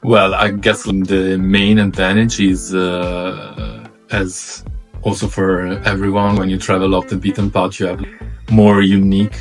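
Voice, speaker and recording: Italian man with a very low voice. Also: level tone, soft background music. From Italy. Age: 30s. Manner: halting, many fillers and pauses. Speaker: co-host. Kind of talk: casual chat.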